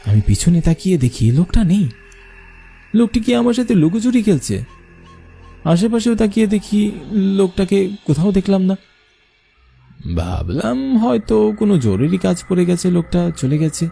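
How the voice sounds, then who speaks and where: A man's voice: high-pitched, big pitch swings, moderate pace, creaky, pauses often, mellow, slightly rough, soft background music. A man narrating a scripted cartoon horror story in the first person with suspenseful, dramatic delivery.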